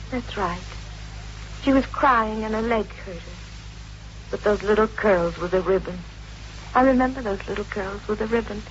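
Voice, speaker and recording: A bitter, distraught wife in a vintage radio drama. Her voice sits fairly high as she pleads and repeats herself broodingly. The old recording is muffled, with some noise. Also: a bit bright. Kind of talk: 1950s radio drama acting.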